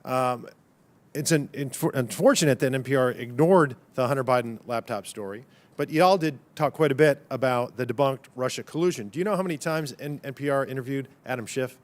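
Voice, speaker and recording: A man's voice: mid-range voice, a little fast. A man, a congressman, questioning a witness at a hearing in a pointed, combative way, stacking up numbers. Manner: pointed, combative, piles up figures. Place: hearing room.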